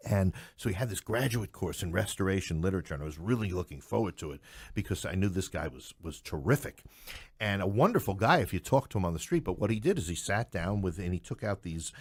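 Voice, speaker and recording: A middle-aged man with a deep voice in a dry, close recording. He speaks conversationally as an interview guest, spinning anecdotes with animation and often restarting his phrases. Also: expressive, fast talker, slightly rough, no echo. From New York City. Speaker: podcast guest, English professor.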